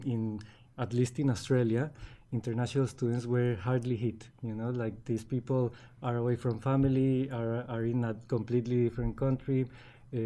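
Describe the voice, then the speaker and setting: Halting Colombian-accented man, mellow voice. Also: mid-range voice. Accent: Colombian Spanish. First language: Spanish. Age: 40s. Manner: restarts words often, halting and earnest. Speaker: guest. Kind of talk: casual interview conversation.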